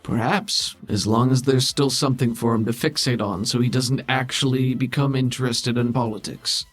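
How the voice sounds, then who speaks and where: A man playing a cool, scheming, condescending political mastermind who speaks formally and with measured authority in a tabletop game. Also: mid-range voice, steady tone, faint music behind, natural voice. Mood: calculating.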